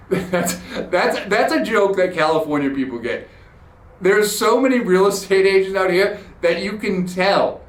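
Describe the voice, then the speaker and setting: A man's voice: high-pitched, fairly bright tone. A man hosting a podcast, telling a blunt, profane, sarcastic story in a ranting monologue. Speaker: podcast host. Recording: roomy echoey room.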